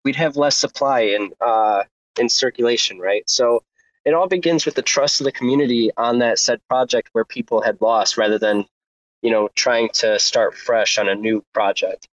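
A man's voice: mid-range voice, normal speed, dry recording. Man explaining crypto over lo-fi audio. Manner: steady, explanatory, with many ums and uhs. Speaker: crypto space co-host. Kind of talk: casual crypto discussion. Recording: dull, lo-fi online audio room.

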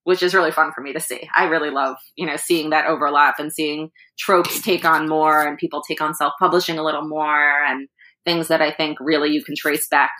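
A woman's voice: sits low, level tone, fairly quick, bright, forceful tone. A woman, an author and editor, talking animatedly in a casual interview, laughing often and restarting her sentences. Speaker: author and anthology editor, guest.

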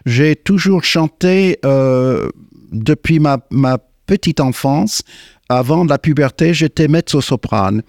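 An older American man, a singer and guest, answering in French in a slow, hesitant way with many fillers and restarts. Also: a little high, lively intonation, darkish tone, no echo, studio quality. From United States. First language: English. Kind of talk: radio interview. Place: radio studio.

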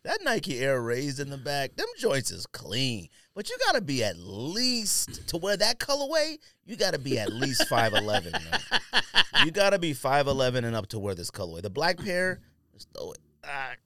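A man's voice: mid-range voice, wildly swooping pitch, normal speed, sharp tone, no room sound. A man co-hosting a sneaker podcast in casual, unscripted banter, talking in slang and giving blunt opinions. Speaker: podcast co-host reviewing sneakers.

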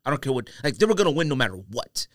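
A man's voice: medium pitch, very animated, racing, no room sound, studio quality. A man co-hosting a casual sports podcast, arguing emphatically and often repeating himself to make a point. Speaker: podcast co-host.

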